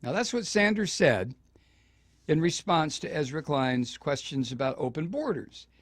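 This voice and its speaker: A man's voice: fairly high pitch, sing-song, unhurried. An older man hosting political talk radio, his voice riding up and down with feeling as he grows indignant. He talks on a close mic in a dry, dead room with no echo, stumbling and restarting as he presses his point. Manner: impassioned, indignant, with frequent restarts. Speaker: radio talk show host. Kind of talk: political commentary.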